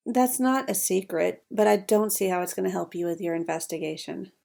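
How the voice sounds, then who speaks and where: Creaky-voiced, genteel lounge singer, played by a woman. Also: lowish voice, fairly even intonation, a little fast, mellow. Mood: politely evasive. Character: genteel, theatrical lounge singer in her thirties, evasive. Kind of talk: noir audio drama acting.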